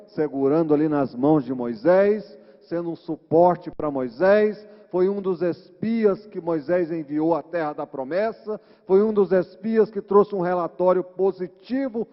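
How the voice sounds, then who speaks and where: Brazilian preacher in an echoing hall. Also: male voice, on the high side, measured pace, few pauses. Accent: Brazilian Portuguese. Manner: didactic, conversational, with frequent tag questions. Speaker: church preacher. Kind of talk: informal sermon retelling Bible stories. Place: large reverberant church hall. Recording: muffled, echoing church hall recording.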